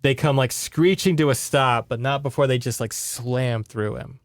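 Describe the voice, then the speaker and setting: A man's voice: mid-range voice, normal speed, dry recording, clean studio sound. A man hosting a podcast, retelling a book in casual, conversational chat and checking in with his listeners. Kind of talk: casual story recap chat.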